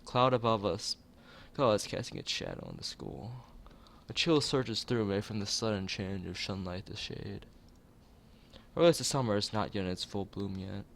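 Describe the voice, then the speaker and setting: Male narrator for visual novel read-aloud: low, creaky voice, expressive delivery with the odd stumble. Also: moderate pace. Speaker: let's player reading a visual novel aloud.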